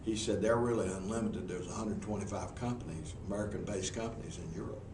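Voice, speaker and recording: A man's voice: deep voice, fast talker. A man with a Southern US accent, a professional speaker answering interview questions before a group in a meeting room, folksy and earnest with frequent restarts. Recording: echoey room, mic far off, noisy.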